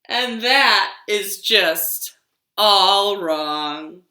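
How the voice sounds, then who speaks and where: A woman voicing an expressive talking tree in a children's storybook, with drawn-out rhyming delivery. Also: mid-range voice, exaggerated voice. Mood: frustrated, exasperated. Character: talking tree, expressive, cheerful then exasperated by autumn. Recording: roomy, echoey home recording.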